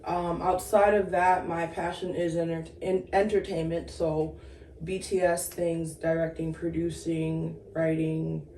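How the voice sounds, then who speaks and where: A woman in her thirties, born in Sudan and raised in the Twin Cities, telling her story as a guest in a casual interview, reflective and halting. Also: sits low, flat delivery, mellow, far from the mic. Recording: echoey room, off-mic, faint noise.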